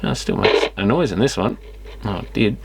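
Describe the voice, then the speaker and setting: Male, lowish voice, casual hands-on vlogger muttering as he tinkers; noisy camera-mic audio with clicks. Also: brisk, creaky. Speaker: electronics repair vlogger. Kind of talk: casual off-the-cuff commentary while inspecting gear. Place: electronics repair workshop.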